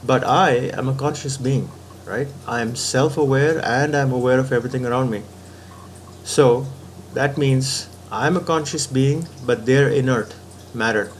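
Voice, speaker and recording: A man's voice: mid-range voice, measured pace, slight background noise. Man reasoning step by step, patient. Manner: patient, step-by-step reasoning, frequent "right?" checks. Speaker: spiritual teacher. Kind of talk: reasoned philosophical talk to camera.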